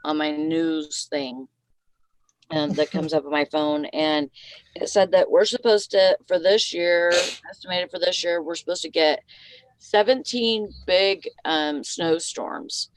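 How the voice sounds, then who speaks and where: A woman in a casual group chat, speaking slowly and hesitantly with many ums. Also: low-pitched, dry recording, poor audio.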